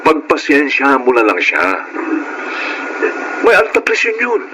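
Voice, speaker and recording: An actor playing a patient, dutiful husband and son in a radio drama, earnest and placating. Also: male voice, a little high, huge pitch swings, a little slow, background noise. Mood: defensive.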